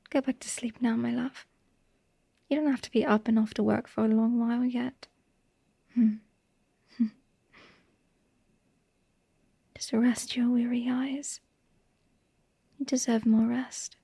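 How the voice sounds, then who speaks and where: A woman playing a tender, melancholy vampire wife in an intimate ASMR roleplay, murmuring softly and breathily, half in a whisper. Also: on the high side, steady tone, creaky, husky, dry recording, heavily acted. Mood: soothing, affectionate. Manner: slow, hushed, lovingly murmured.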